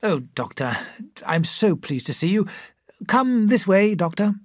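A fussy male shop manager, flustered and eager to please, as a reader puts him on. The voice is pushed high and jumps about with animated inflection. It is recorded dry and close. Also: unhurried, no room sound, exaggerated voice. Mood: relieved.

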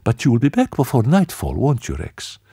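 A man reading aloud, voicing a kind young woman who urges her guests to stay, raising his voice high. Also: huge pitch swings, moderate pace, creaky, mellow, breathy edge, no echo, clean studio sound, full character voice. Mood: solicitous. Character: young woman, warm, quick and caring hostess.

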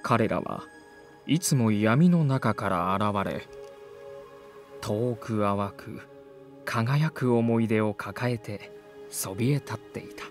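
Prologue narrator, male: solemn, wistful chronicler, very slow and measured, widely varied intonation, dramatic voice drama. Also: fairly low pitch, expressive, faint music behind.

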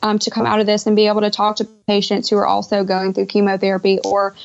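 A young woman talks as an interview guest in a conversational way. Her voice has a gravelly fry and stays fairly even in pitch. The sound is a dull internet call. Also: fast talker. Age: young adult. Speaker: podcast guest, pharmacist. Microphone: Skype call.

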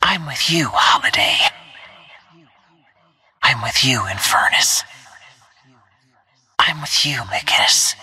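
A cold, focused female assassin from a video game, giving short tactical callouts. Her voice is low, very husky and breathy, often sinking into a whisper with a sharp, hissing edge. She stays terse and deliberate, like someone keeping out of sight. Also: lively intonation, full character voice. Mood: cool, focused. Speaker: playable video game character.

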